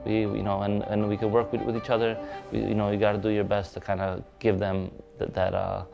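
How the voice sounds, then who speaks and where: A young man from Poland with a Polish accent speaks in a low, level voice with a gravelly edge. He talks earnestly and haltingly, restarting often, as he is interviewed for a documentary. Soft music plays faintly behind him. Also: monotone, dull audio. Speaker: police officer and boxing manager.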